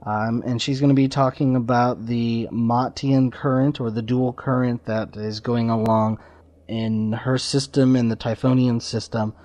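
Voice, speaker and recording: A man hosting a podcast interview in a casual, measured and slightly hesitant way. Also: medium pitch, level tone, darkish tone, dry recording.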